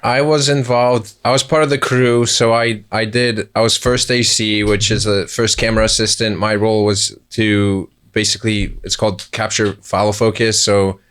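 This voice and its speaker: A man's voice: on the low side, flat delivery, moderate pace, hardly any pauses, fairly bright tone, smooth. A man who worked as a camera assistant on a film, answering interview questions in a rambling way and often correcting himself. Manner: rambling, self-correcting explanations. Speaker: film crew member, first camera assistant.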